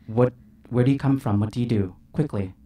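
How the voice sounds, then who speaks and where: Man role-playing casually in a medium-pitched voice. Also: fairly quick, dark tone. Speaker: player in a tabletop game. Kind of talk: casual in-character roleplay.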